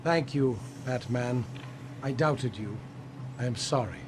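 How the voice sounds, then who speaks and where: An actor playing a grieving, subdued supervillain scientist in a video game, speaking formally, solemnly and haltingly. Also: male voice, mid-range voice, very noisy, natural voice. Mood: sorrowful, grateful. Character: grieving, subdued male scientist supervillain devoted to his wife. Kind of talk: video game cutscene dialogue.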